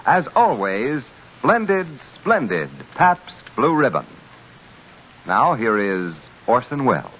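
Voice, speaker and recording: A man's voice: mid-range voice, big pitch swings, slow pace, fairly bright tone, breathy edge. A man announcing on 1940s radio, reading sponsor copy in a formal, emphatic style. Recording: muffled 1940s radio recording, faint noise.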